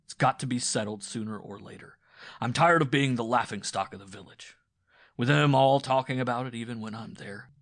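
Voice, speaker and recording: A man's voice: medium pitch, a little fast, dry recording, clean studio sound, lightly acted. A man acting a raging jealous peasant husband. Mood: accusing. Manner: shouting one moment, hissing in a hoarse whisper the next. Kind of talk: audiobook dialogue reading.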